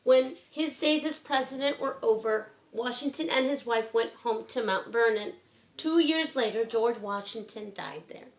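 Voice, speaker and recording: A woman reading a children's book aloud in a simple, measured storytelling manner with pauses. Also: a little high, slight background noise.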